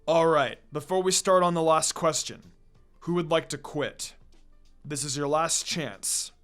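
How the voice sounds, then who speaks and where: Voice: medium pitch, measured pace, soft background music, lightly acted. A reader voicing a stern exam proctor who gives warnings in an anime fan fiction. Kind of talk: dramatic fan fiction character reading.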